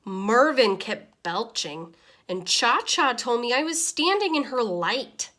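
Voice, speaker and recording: Woman acting out exasperated small pet goldfish, children's storybook diary, higher-pitched, unhurried, expressive. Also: heavily acted. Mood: frazzled. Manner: deliberate, dramatic, expressive. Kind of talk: expressive children's picture-book read-aloud.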